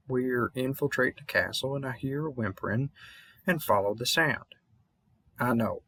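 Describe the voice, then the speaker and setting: A man's voice: medium pitch, a bit bright, dry recording. A man playing an experienced, kindly vampire hunter mentor in an intimate audio roleplay, rambling and slightly mumbling in a Southern accent. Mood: wry, reminiscing.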